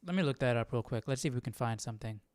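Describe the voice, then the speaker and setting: A man's voice: medium pitch, racing, clear, resonant tone, no room sound. A man hosting a live Bible study, informal and curious, thinking aloud as he chats and reads. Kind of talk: casual livestream chat and reading aloud.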